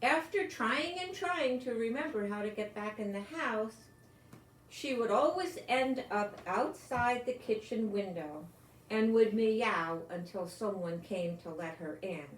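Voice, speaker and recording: Older female storyteller for children's read-aloud; lively pitch, expressive, chatty asides, roomy home sound with faint noise. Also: unhurried. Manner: expressive, lingering on words, talking as to small children. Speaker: storyteller reading a picture book aloud.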